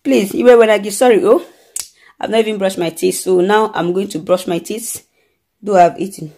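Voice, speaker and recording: A woman's voice: medium pitch, normal speed, darkish tone. A woman in her thirties chatting casually to a close-held phone camera in a dim room at home, rambling and repeating herself as she explains her day. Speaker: vlogger.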